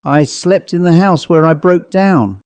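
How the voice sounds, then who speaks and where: A man's voice: on the high side, unhurried, darkish tone, dry recording, pitched above the performer's own voice, close to an everyday voice. A man voicing a weary, polite, matter-of-fact traveller in an audiobook story, answering plainly and earnestly.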